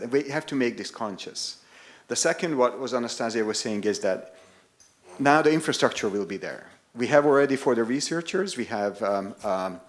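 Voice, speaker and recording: A man from Hungary, speaking on a panel in a halting way with many fillers. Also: lowish voice. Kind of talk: panel discussion.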